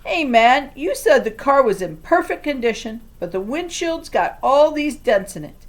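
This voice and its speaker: A woman's voice: high-pitched, very animated, normal speed, pitched above the performer's own voice. Storybook bit part: woman reader as an annoyed male driver, blunt and casual. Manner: blunt, complaining.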